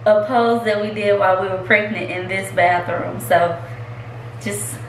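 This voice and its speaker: A woman's voice: medium pitch, fairly even intonation, measured pace, a little hiss or hum. A young woman chatting casually and goofily to camera as a vlogger alongside her friend. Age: young adult. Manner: goofy, informal. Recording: echoey room with loud music behind.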